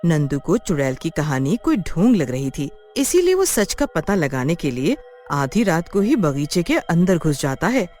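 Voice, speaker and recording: A woman narrates a scripted children's tale in a fairly low voice. Her intonation is very animated, and soft music plays behind her. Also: normal speed.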